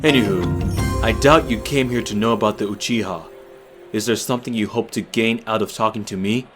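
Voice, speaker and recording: A man acting a proud, guarded, bitter clan warrior in an anime-style audio drama, speaking in a grave, measured, formal way. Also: on the low side, natural voice. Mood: guarded, reflective. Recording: dramatic music behind the voice.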